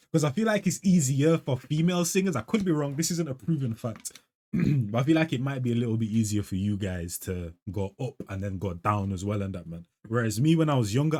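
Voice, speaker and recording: A man's voice: medium pitch, a little mellow. Slangy young man. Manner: slangy, musing, tags lines with "fam" and "bro". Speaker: music reaction host. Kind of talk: casual reaction commentary.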